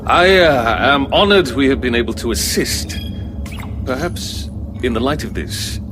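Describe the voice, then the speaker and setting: A man's voice: mid-range voice, animated, a little slow, very noisy, close to an everyday voice. Casting: careful, formal alien diplomat played by a man, mid-range voice, hesitant and uneasy, for sci-fi audio drama. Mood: hesitant, diplomatic. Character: uneasy, formal male alien peace negotiator. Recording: loud music bed behind voice.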